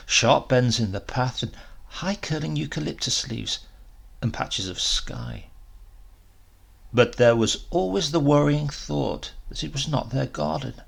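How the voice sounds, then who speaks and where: A man reading a literary story aloud in an expressive, measured, dramatic storytelling manner. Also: a little high, vocal fry, slightly rough. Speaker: story reader.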